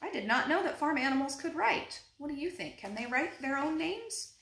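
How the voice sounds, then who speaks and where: A woman in her thirties reading a children's picture book aloud expressively, deliberately phrased, now and then putting questions to young listeners. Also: sits high, lively intonation, a bit bright. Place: living room indoors, seated on a couch. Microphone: camera a short distance away. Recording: roomy indoor echo.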